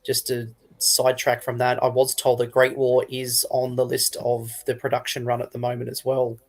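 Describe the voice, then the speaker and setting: A man's voice: mid-range voice, monotone, moderate pace. A man talking casually as a panellist on a hobby livestream.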